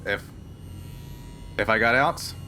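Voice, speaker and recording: A man playing a frank, weary smuggler held prisoner in a tabletop game, speaking in a halting, earnest way. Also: on the low side, sharp tone, a little hiss or hum, heavily acted. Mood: resigned, earnest.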